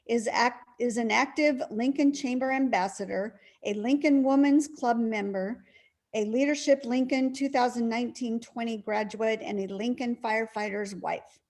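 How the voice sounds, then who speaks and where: Woman, slightly high voice, reads a speaker intro. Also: a little mellow. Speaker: club member introducing the speaker. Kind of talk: reading a prepared introduction. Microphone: video call.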